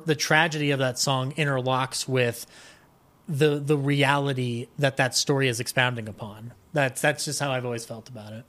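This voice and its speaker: A man's voice: mid-range voice, a bit bright. A man chatting casually as a podcast guest, emphatic and enthusiastic, often restarting mid-thought as he gives his opinions. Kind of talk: casual opinionated chat about music and film.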